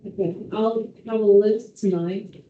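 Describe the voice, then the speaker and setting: A woman's voice: medium pitch, fairly even intonation, measured pace, mellow, a little hiss or hum. Woman teacher with a tinny, distant sound. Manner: direct, instructing. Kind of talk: classroom announcement. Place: classroom. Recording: phone-like, distant, echoey.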